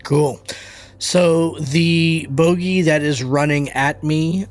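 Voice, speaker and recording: A man's voice: a little high, takes it slowly. A man talking casually as a player in a tabletop game, working through his moves and rules.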